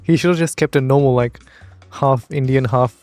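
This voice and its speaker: A man's voice: mid-range voice, steady tone, normal speed, darkish tone, dry recording, faint music behind. A man hosting a reaction video, commenting with wry, blunt amusement. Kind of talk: casual commentary over a video.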